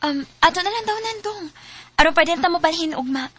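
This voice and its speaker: A woman's voice: very high voice, expressive, brisk, a bit bright. An actress with a Cebuano accent playing a sweet, shy young girlfriend in a radio drama. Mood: agreeable.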